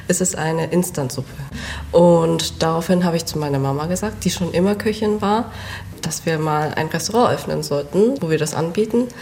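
A woman's voice: deep voice, a little mellow, slight background noise. A young woman born and raised in Germany, a restaurant owner and cook, giving short, plain answers in an interview and laughing often.

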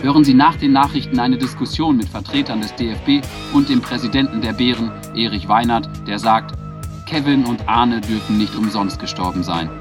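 An actor playing a sober radio news announcer, reading formal scripted news in a radio drama. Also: male voice, medium pitch, fairly quick, close to an everyday voice. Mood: neutral, formal. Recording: clear music under the voice.

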